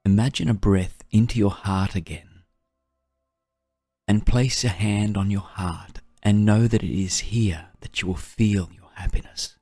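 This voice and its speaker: A man guiding a meditation in a soft, breathy, soothing voice, gently and unhurriedly, with long pauses. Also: fairly low pitch, fairly even intonation, no room sound, studio quality, faint music behind. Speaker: meditation guide. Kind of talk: guided meditation narration.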